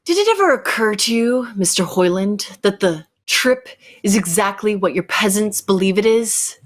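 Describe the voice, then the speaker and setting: A woman playing a knowing, imperious rebel captor who speaks deliberately and probingly in a radio sci-fi drama. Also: medium pitch, animated, natural voice. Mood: insistent. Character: commanding, knowing rebel captor challenging orthodox belief. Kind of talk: cold-read old-time radio sci-fi drama.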